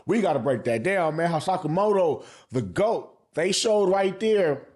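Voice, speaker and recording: A man's voice: high voice, animated, measured pace, a little mellow. A man hosting a casual anime review, talking in heavy slang and profanity, repeating phrases for emphasis. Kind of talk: casual hype review commentary.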